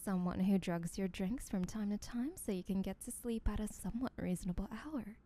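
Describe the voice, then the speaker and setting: A woman's voice: mid-range voice, a little fast, dark tone, close to an everyday voice. A woman playing an obsessive, boastful yandere sibling in a comedic audio roleplay, rambling in a smug, teasing, sweetly menacing way. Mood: mocking, gloating.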